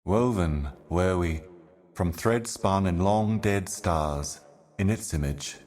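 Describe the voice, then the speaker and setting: A man voicing an ancient, weary otherworldly envoy in a video game, delivering cryptic poetic monologues in a grave, brooding, measured manner. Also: low-pitched, darkish tone. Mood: solemn, cryptic. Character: ancient solemn otherworldly envoy, weary eternal servant of cosmic darkness.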